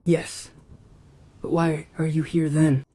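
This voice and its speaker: An actress playing a chatty, rambling, somewhat flustered housekeeper in a radio play. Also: female voice, deep voice, flat delivery, takes it slowly, mellow. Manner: rambling, apologetic.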